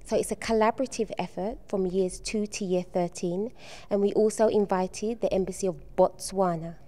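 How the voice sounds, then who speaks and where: A soft-spoken woman in her middle years, explaining a school art exhibition she organized. Her voice is mellow and warm, and she pauses often with ums as she gathers her thoughts. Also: mid-range voice, fairly even intonation. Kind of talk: on-location TV interview.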